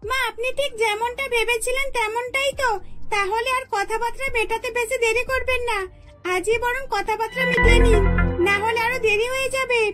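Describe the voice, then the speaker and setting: A woman's voice: very high voice, fairly even intonation, fairly quick, a bit bright, soft background music, pitched above the performer's own voice. A woman voicing a sweet, deferential young daughter-in-law in a cartoon, speaking politely and coaxingly. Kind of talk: scripted cartoon dialogue.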